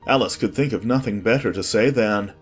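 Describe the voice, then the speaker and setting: A man's voice: lowish voice, brisk, husky, soft background music. A man reading scripted storybook narration as the narrator of an audiobook.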